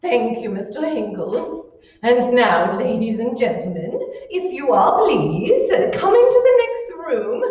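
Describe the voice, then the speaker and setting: A woman's voice: on the high side, big pitch swings, a little slow. A woman playing a gushing, bossy society hostess in old-time radio style, theatrical and grandly over-enunciated. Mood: excited, hurrying. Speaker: amateur stage actor. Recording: echoey room, distant mic, dull sound.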